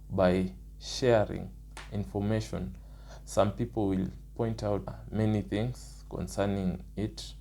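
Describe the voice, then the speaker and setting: A young man with a Kenyan accent speaks in a low, dark, gravelly voice that still sounds rough from the early morning. He talks in a measured, casual vlog style and counts off his points one after another. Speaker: nursing student.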